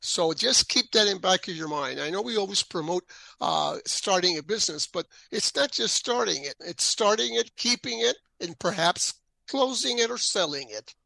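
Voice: mid-range voice, animated, moderate pace, gravelly, pushed and bright, no room sound, rough recording. A co-host earnestly promoting a business help service.

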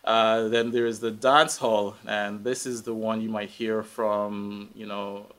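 Male interview guest, Guyanese accent, deeper register, unhurried thinking-aloud delivery, conversational. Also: flat delivery, a bit bright. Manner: hesitant, reflective, thinking aloud. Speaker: interview guest, tech professional. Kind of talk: casual live conversation.

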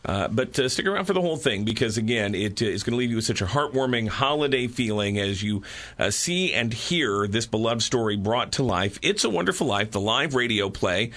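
A man's voice: mid-range voice, animated, a little fast, a bit bright. An older man hosting a conversational radio interview, talking in an encouraging, promotional way.

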